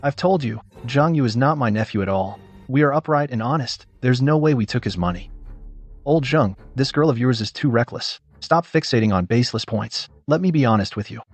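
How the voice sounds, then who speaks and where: An older company manager in a radio drama, husky and dryly recorded. He is self-righteous and evasive, lecturing in a condescending tone. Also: mid-range voice, dry recording. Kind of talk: dubbed radio drama acting.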